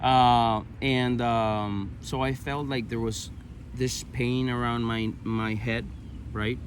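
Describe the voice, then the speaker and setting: A man in his thirties from Venezuela with a Venezuelan Spanish accent, telling his own story conversationally, haltingly searching for words. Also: medium pitch, little pitch movement, noisy background. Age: 30s. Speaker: interview guest.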